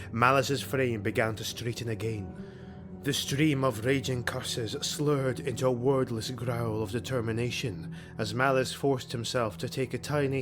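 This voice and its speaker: A man narrating a fantasy audiobook in a grave, theatrical, dramatic scripted style. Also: medium pitch. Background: music.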